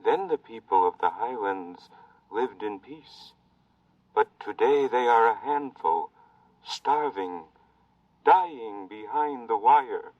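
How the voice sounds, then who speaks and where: A grave Martian elder, speaks in slow, formal phrases. The old radio play sound is dry and a little distant from the microphone. His pitch sits in an ordinary male middle. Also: lively intonation, dry recording, dull audio. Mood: solemn. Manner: slow, measured, formal speech. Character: old Martian highland native, grave and sorrowful. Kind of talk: 1950s radio drama acting. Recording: dry vintage radio transcription.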